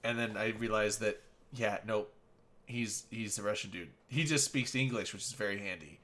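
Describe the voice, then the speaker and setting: A man's voice: lowish voice, normal speed, pushed and bright. A man co-hosting a casual gaming podcast, rambling through a story and swearing casually.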